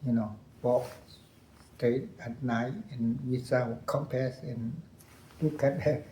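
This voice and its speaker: An older man with a Lao accent, a retired colonel recounting his war years in halting English, repeating phrases and laughing often. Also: medium pitch, steady tone, warm tone, echoey room, a little hiss or hum, poor audio. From Laos. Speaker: interview guest, veteran colonel.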